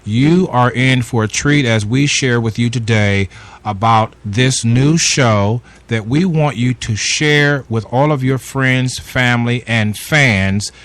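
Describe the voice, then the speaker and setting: A man's voice: medium pitch, takes it slowly, fairly bright tone, dry recording. A man hosting a radio interview show, speaking in a sing-song, preacherly way with effusive introductions.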